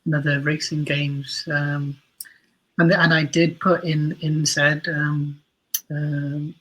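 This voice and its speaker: A man's voice: fairly high pitch, flat delivery, slow, pushed and bright, poor audio. A man talking casually as a podcast guest about creating an audio drama, chatty and self-deprecating, often restarting his sentences.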